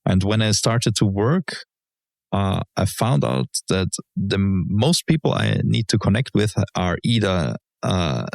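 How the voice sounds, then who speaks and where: Deep gravelly man, halting. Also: expressive, a little mellow, no echo, studio quality. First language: German. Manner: hesitant, many fillers and restarts, measured explanations. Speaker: guest, legal professional. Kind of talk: relaxed conversational interview.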